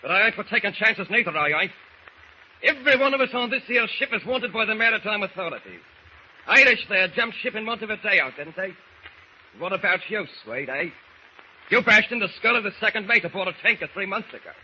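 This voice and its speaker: Casting: aggressive Cockney mutineer, high-pitched and badgering, dull old radio serial sound. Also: male voice, animated, fairly quick, pushed and bright, full character voice. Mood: menacing, agitated. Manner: hectoring, tag questions. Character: aggressive, scheming British sailor stirring up mutiny. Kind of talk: 1940s radio acting. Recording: muffled old radio recording with faint noise.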